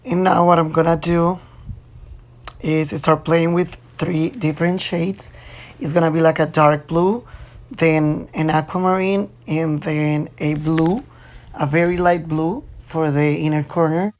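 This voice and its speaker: A young man from Colombia, a native Spanish speaker with a Colombian Spanish accent, talking through a makeup tutorial in chatty, slightly halting English. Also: fairly high pitch, flat delivery, soft background music. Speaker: makeup vlogger. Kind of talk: casual makeup tutorial talk.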